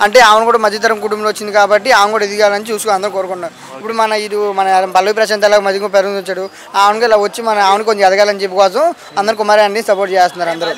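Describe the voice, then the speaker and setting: Very high, rapid-talking man. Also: little pitch movement, fairly bright tone. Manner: wordy, repeats phrases while explaining. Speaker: member of the public interviewed. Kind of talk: casual street interview opinion.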